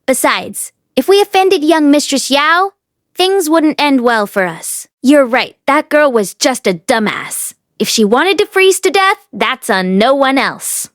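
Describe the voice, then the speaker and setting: A woman's voice: sits high, animated, measured pace, no room sound, clean studio sound. A woman voicing a callous young man in an audiobook, gossiping with scornful, dismissive manner. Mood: smug contempt. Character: callous, sneering young brothers, gossiping spoiled men.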